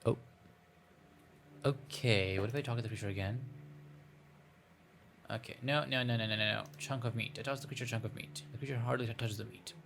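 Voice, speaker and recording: Casual male gaming streamer, mid-range with noticeable fry, playful and rambling as he reads story text. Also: mid-range voice, steady tone, a little fast, pauses often, a bit bright, slight background noise. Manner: playful, rambling, stumbles while reading. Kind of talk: casual playthrough, reading visual novel text aloud.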